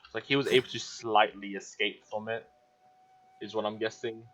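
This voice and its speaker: A man's voice: fairly low pitch, steady tone, normal speed, fairly bright tone. A man giving casual playthrough commentary on a video game, in short, offhand, muttered reactions. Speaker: gamer playing and commenting. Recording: dull audio with game music. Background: game music.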